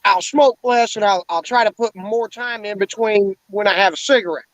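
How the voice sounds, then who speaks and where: A man's voice: high-pitched, moderate pace, fairly bright tone, no echo. A man in his forties in a casual, blunt and profane chat, rambling as he talks. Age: 40s. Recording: dull lo-fi dry sound.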